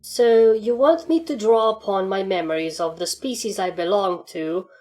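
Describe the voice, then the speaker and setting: A world-weary alien living as a pony, acted out by a woman in an audio fan fiction. The delivery is dry, deadpan and matter-of-fact, at a middling pitch. Music plays underneath. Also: moderate pace, natural voice. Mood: grim. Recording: music behind the voice.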